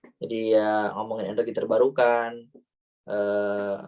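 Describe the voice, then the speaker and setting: A man's voice: fairly low pitch, little pitch movement, unhurried, a little mellow, smooth, recorded from a distance, muffled recording. A man in his thirties from Indonesia with a Banyumas Ngapak accent, chatting casually as a talk show guest and using many fillers. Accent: Banyumas (Ngapak) Javanese-Indonesian. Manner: casual, with frequent fillers and "wallahu alam". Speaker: guest answering viewer questions. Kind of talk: relaxed talk show chat.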